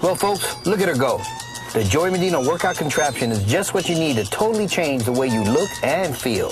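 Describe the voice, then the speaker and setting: A man's voice: on the high side, sing-song, a little fast. A man voicing a sly, pushy workout-machine salesman puppet in a parody infomercial. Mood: salesy. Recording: music and crowd behind.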